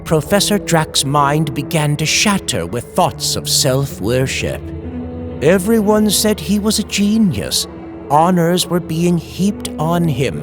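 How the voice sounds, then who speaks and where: Slow, dramatic male horror narrator. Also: fairly high pitch, sing-song, music in the background. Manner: dramatic, suspenseful, deliberate. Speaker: horror story narrator, channel host. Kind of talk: scripted old-time radio style horror narration.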